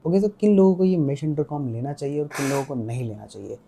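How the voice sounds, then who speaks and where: A man's voice: on the high side, fast, creaky, mellow, a little hiss or hum. A man with an Indian accent giving a casual product review, explaining step by step and mixing Hindi with English. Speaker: motorcycle gear reviewer.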